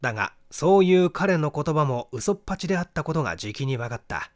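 A man giving a scripted narration of a mystery novel, in a dramatic, deliberate storytelling manner. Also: fairly high pitch, expressive. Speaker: audiobook narrator, stage actor.

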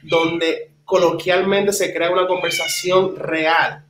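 A man's voice: sits high, steady tone, slow, noisy background. A man in his thirties with a Puerto Rican accent, speaking passionately and emphatically as an interview guest on a video call. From Puerto Rico. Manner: passionate, emphatic, repeats words for stress. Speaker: interviewee, community advocate. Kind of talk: conversational interview answers in Spanish with some English words. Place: indoors, small wood-walled room at home. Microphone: computer mic on a video call. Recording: roomy, echoey video call.

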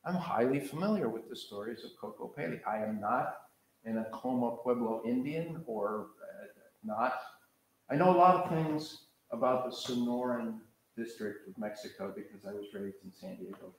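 An older man from the American Pacific Coast lectures in a big hall, his middle-pitched voice bouncing around with heavy echo. He talks in a loose, digressive way, recorded from a distance. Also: normal speed. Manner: conversational, digressive, gestures while pacing. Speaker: professor leading a master class. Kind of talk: lecture to a seated audience. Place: large lecture hall with red seats. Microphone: far camera.

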